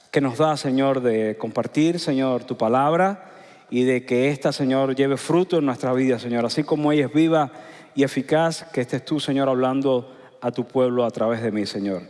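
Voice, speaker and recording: A middle-aged man with a Cuban accent, preaching a sermon in a conversational way with frequent filler pauses. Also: mid-range voice, a little mellow. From Cuba. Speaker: pastor. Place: large church hall. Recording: long hall reverb.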